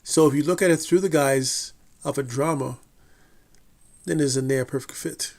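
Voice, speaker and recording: A man reading a scripted TV review in a measured, deliberate way, with the odd slip and restart. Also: medium pitch, gravelly, darkish tone. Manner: measured, deliberate delivery with occasional slips and restarts. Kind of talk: scripted review read aloud.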